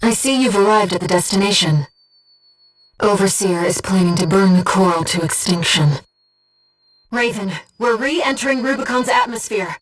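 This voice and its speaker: A gentle female spirit of a video game, played by a woman, speaks as a mission operator with mid-pitched, precise and composed delivery. The voice is earnest and softly formal, sounding slightly removed from the mic, with music underneath. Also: distant mic, natural voice, low-quality recording. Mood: urgent. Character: gentle, earnest female disembodied coral being guiding a mercenary pilot. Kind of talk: scripted video game voice acting.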